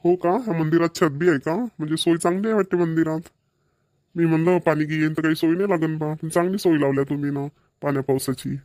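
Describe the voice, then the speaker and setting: A man acting as a plain-spoken cartoon villager with a Vidarbha Marathi accent. He talks very fast in a fairly high male voice, colloquial and folksy, recorded dry. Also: fairly even intonation, darkish tone, dry recording, natural voice. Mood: concerned. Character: plain-spoken village men at a family engagement. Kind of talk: amateur cartoon dialogue acting.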